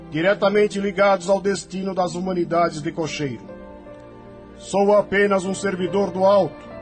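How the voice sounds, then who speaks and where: A man performing an exalted spirit leader of celestial legions, high in pitch for a male. He proclaims with grave authority in a radio-play manner, with clear music behind him. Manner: solemn, proclamatory. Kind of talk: spiritist audio drama. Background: prominent music.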